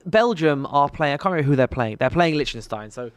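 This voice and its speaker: A man's voice: on the high side, expressive, fast, clean tone, no room sound. Chatty man on a live stream. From London. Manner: chatty, rambling with restarts, addresses viewers. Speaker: podcast co-host. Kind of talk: casual sports chat on a live stream.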